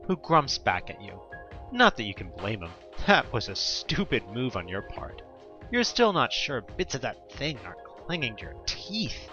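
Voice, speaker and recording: A man's voice: medium pitch, bright, forceful tone, muffled recording. A man narrating an audio drama as a scripted second-person story, with theatrical, emphatic storytelling.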